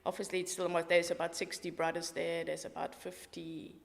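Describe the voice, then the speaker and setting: A woman's voice: lowish voice, flat delivery, brisk, vocal fry. A middle-aged woman from Switzerland with a Swiss accent, a walking tour guide talking in a podcast interview in an enthusiastic, matter-of-fact way. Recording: roomy hall-like reverb.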